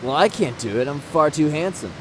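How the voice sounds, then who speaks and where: A man's voice: on the high side, expressive, fairly quick, recorded from a distance, background noise, close to an everyday voice. A man voicing a vain, boastful young smuggler who narrates a flashback in a parody cartoon. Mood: smug. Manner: smug, bragging. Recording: music behind.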